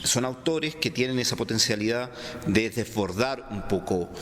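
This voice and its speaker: A man from Chile with a Chilean accent, a philosopher answering interview questions in a halting way, full of fillers and restarts. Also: medium pitch. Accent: Chilean Spanish. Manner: hesitant, many fillers and restarts. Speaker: philosopher guest. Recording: reverberant room, faint background noise.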